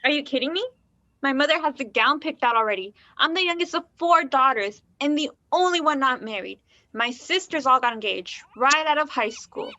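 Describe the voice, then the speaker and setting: A woman's voice: high voice, animated, normal speed, pushed and bright, no room sound, lo-fi sound. A woman acting a naive, unsettled nineteen-year-old confiding in a stranger in a naturalistic play. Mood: exasperated. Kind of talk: naturalistic one-act play dialogue.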